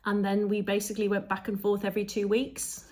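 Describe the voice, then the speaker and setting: A woman in her fifties from England, telling her story in a relaxed, chatty podcast conversation with frequent ums. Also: mid-range voice, brisk. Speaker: podcast co-host.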